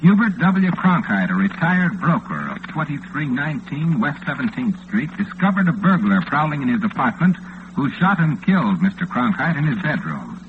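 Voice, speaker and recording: A man's voice: mid-range voice, big pitch swings, normal speed, close to an everyday voice. A man playing a laconic, dryly cynical radio station newsman with an American accent, in an old-time radio drama. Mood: dry newsreading. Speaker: newsreader. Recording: muffled, noisy vintage radio recording.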